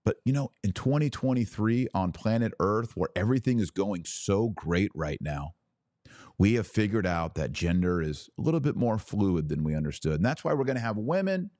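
A low-voiced man delivers opinionated religious commentary as a podcast host. His pitch swings widely as he preaches to the listener with emphatic, pointed phrasing. The sound is dry and close. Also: lively intonation, normal speed, a little mellow, dry recording. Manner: emphatic, rhetorical questions, sarcastic asides.

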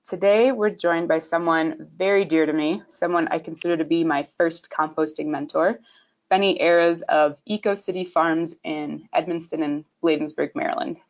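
A woman hosting a podcast, reading a scripted intro in a clear, measured way and then interviewing a guest. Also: low-pitched, steady tone, highs cut off. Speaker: podcast host.

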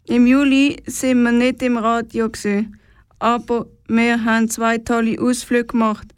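Woman host, Swiss German accent, scripted radio opening. Fairly high voice with flat intonation, delivered as a show introduction. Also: clear, resonant tone, no room sound.

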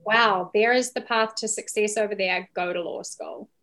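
A woman's voice: mid-range voice, moderate pace, bright, forceful tone. A woman with a New Zealand accent telling her story casually and candidly as a podcast guest.

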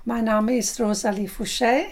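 A woman's voice: a little high, steady tone, normal speed. A woman from South Africa whose first language is English, talking hesitantly with many fillers about the women's group she leads. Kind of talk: casual interview.

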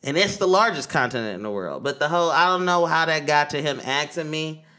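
A man's voice: fairly high pitch, expressive, fairly quick, sharp tone, clean tone. A man from Oakland co-hosting a podcast, telling stories in a loose, slangy way and swearing freely. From East Oakland, California. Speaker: podcast co-host. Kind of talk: casual podcast chat.

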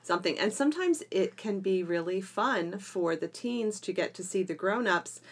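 A woman's voice: medium pitch, expressive, moderate pace, breathy edge. A woman co-hosting a homeschooling podcast in casual, enthusiastic conversation. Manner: enthusiastic, self-described geeky. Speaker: podcast co-host and drama teacher.

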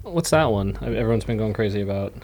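A man's voice: fairly low pitch, animated, quick pace, a little mellow, slight background noise. A man co-hosting a podcast, talking in a casual, conversational way. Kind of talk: casual chat.

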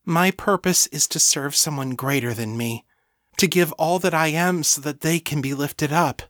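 Male narrator as devoted servant woman, raised pitch, earnest, submissive, rapturous, fantasy audiobook. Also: moderate pace, a bit bright, dry recording, studio quality, close to an everyday voice. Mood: rapturous devotion. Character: Lena, devoted, self-effacing female thrall, rapturous and servile.

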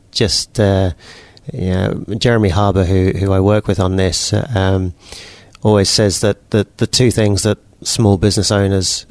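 A man's voice: sits low, no room sound. A New Zealand-born man in his forties with a British accent, answering interview questions casually with frequent restarts. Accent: British English. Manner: relaxed, repeats words and restarts often. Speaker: guest entrepreneur.

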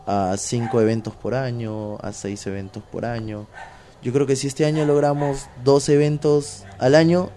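Peruvian-accented wrestler, mellow-toned, loose slangy chat, filler-heavy. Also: male voice, mid-range voice, moderate pace. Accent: Peruvian Spanish. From Peru. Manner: animated, slangy, many fillers. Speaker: pro wrestler guest. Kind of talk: casual interview chat.

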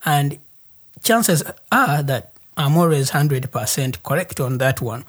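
A man with a Ugandan accent telling a personal story as an interview guest, in deliberate, halting phrases. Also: a little high, expressive, a bit bright. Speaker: interview guest, human rights activist.

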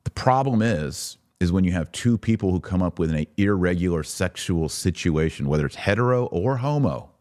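A man's voice: low-pitched, lively intonation, normal speed, few pauses, a little mellow, no room sound, studio quality. A man hosting a podcast of religious commentary, reading aloud and reacting in an emphatic, informal, argumentative way.

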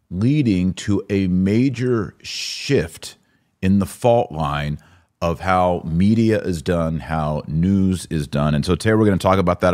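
A man's voice: low-pitched, darkish tone. An American man hosting a podcast, giving news commentary in an informal, conversational and opinionated way. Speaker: podcast host. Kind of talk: live news commentary to an audience.

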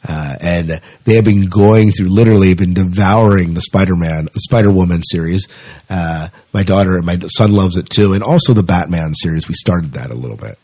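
A man's voice: low voice, normal speed, a little mellow, slightly rough, no echo. A middle-aged man chatting casually as the host of a podcast.

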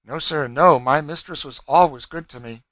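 Man voicing a desperate young runaway mother, high-pitched. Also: moderate pace, a bit bright, no echo, natural voice. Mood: earnest. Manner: pleading, earnest, dialectal grammar.

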